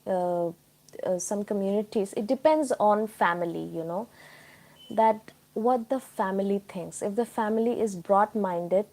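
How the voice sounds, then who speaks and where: A woman's voice: medium pitch, unhurried, dark tone. A woman from Tripura with an Indian accent, hosting a casual live chat and explaining things informally to her viewers. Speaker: live stream host.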